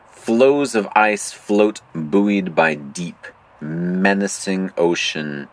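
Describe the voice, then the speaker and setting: A man playing a mysterious, impassive game operator who narrates a surreal audio drama in a formal, deadpan manner. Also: low voice, exaggerated voice. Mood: ominous, poetic.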